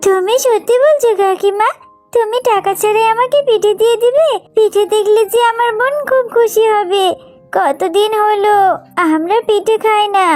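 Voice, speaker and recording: Casting: woman as small cartoon fox girl, extremely high voice, earnest, dutiful, childlike. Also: moderate pace, darkish tone, faint music behind. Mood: earnest, hopeful.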